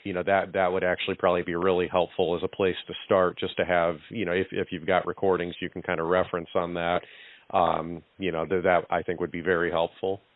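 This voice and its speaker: Male store clerk on a call; deep, hesitant; tinny phone audio. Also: level tone, a little fast, no echo. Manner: hesitant, leaning on "you know" and "um". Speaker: guitar store employee. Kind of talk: phone customer service. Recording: tinny dry phone line.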